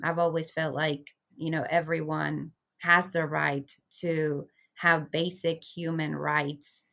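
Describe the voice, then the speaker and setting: A woman's voice: low voice, flat delivery, takes it slowly, vocal fry, pushed and bright. A woman from Venezuela, a community liaison, speaking earnestly and reflectively as a guest in an interview. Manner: earnest, reflective, with frequent fillers. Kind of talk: conversational interview.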